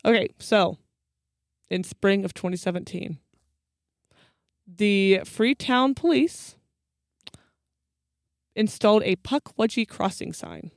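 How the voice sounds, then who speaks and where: A woman's voice: medium pitch, takes it slowly, vocal fry, long pauses, no echo, studio quality. A woman hosting a podcast, telling stories in an informal, wry, chatty way. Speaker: podcast host. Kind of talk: casual storytelling chat with co-host.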